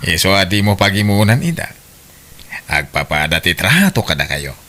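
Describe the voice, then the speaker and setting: A man's voice: low-pitched, very animated, normal speed, pushed and bright, full character voice. A man with an Ilocano Filipino accent playing a brazen, unfaithful husband in an audio drama, brash and emphatic. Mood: brazen, dismissive.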